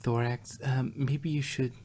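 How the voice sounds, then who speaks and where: Man voicing a husky, cautious pony princess. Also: mid-range voice, flat delivery, a little slow, a little mellow, lightly acted. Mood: nervous, placating. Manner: hesitant, careful, placating. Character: female pony princess, cautious, concerned and reasonable. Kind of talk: fan fiction dramatic reading, cartoon characters.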